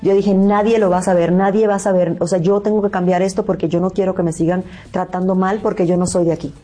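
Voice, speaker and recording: Cuban-born actress in an interview, earnest, stumbles and repeats herself, warm mellow voice. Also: female voice, fairly low pitch, flat delivery, soft background music. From Cuba. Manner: earnest, halting, repeats words.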